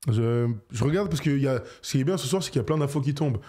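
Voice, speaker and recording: A man hosting a basketball call-in show, talking quickly and getting worked up in jokey banter. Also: medium pitch. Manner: excitable, rapid, jokey. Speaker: radio show host.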